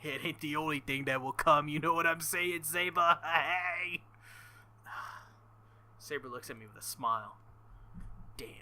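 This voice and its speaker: A man hosting a visual novel playthrough, reading lines aloud and tossing in animated, sweary, joking commentary. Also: a little high, measured pace, pauses often. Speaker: video game playthrough host.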